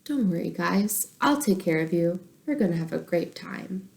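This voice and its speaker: A woman's voice: lowish voice, expressive, moderate pace, creaky. Woman as an earnest, dramatic storybook schoolboy. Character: earnest, imaginative schoolboy, caring but easily exasperated.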